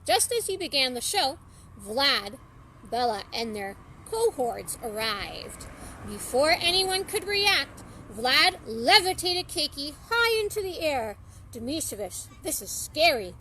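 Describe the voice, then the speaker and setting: Animated high-pitched woman reading a story. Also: takes it slowly, slight background noise, rough recording. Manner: expressive, theatrical, acts out dialogue. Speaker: storyteller reading a children's book aloud.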